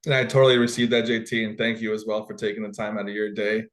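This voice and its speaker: A man from Guatemala, a football coach, talking in an interview in a humble, conversational way with many pauses and restarts. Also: fairly low pitch, monotone. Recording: roomy echo.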